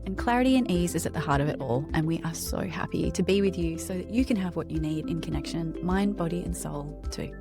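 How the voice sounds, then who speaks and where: A woman hosting a podcast, reading an upbeat scripted intro and then interviewing with emphatic, drawn-out phrasing. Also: sits low, gravelly, music in the background. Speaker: podcast host.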